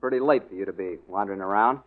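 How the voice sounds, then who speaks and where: Man playing suspicious surly young male tough, American, quick guarded speech, 1940s radio drama, tinny phone-like recording. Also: low voice, natural voice. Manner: clipped, guarded. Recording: thin, narrow old radio recording.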